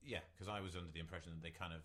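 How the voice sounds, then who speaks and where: A man with a notably deep, low voice co-hosting a relaxed podcast. He talks in a meandering, hesitant way, restarting his sentences often. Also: rapid-fire. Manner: rambling, hesitant, with many restarts. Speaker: podcast co-host. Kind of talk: casual chat.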